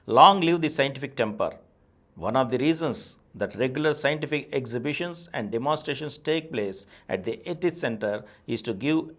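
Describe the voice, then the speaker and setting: A middle-aged man with an Indian English accent reads a nonfiction book aloud at a steady, deliberate pace, his eyes on the page. His voice sits in an ordinary mid pitch for a man, close on a lapel mic in a small studio. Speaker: channel host reading a book aloud. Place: small studio with a painted backdrop and plants.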